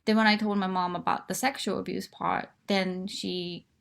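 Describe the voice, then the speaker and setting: A woman's voice: medium pitch, level tone, normal speed, creaky, a bit bright. A woman, from Japan, frankly telling her life story as a guest in a live online interview, with fillers and restarts. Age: 40s.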